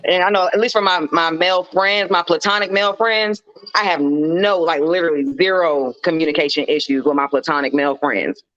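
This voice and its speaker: Blunt American woman with a bassy voice. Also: fairly quick, fairly bright tone. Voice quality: deep, bassy. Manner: blunt, hard-talking, sweary. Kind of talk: casual panel discussion. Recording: slightly dull, lo-fi, dry sound.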